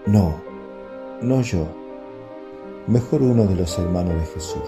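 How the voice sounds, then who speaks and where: Grave, fatherly apostle, fairly deep. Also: lively intonation, takes it slowly, exaggerated voice. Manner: solemn, paternal. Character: grave, fatherly male apostle, leader of the group. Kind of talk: radio drama acting. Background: music.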